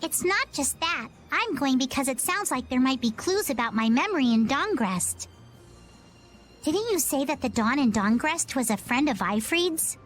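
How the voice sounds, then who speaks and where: Plucky little girl sailor, very high voice, lively intonation, self-assured, game dub, faint music. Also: moderate pace. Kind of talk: animated video game dubbing.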